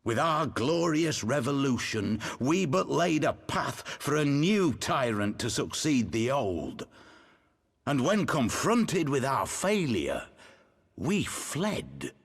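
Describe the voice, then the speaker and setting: Impassioned rebel leader, sweeping pitch. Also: male voice, big pitch swings, slow pace, creaky, slightly rough, exaggerated voice. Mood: grave, ashamed. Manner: declamatory, rhetorical, emphatic. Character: impassioned male rebel leader rallying his exiled countrymen. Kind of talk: theatrical video game oratory.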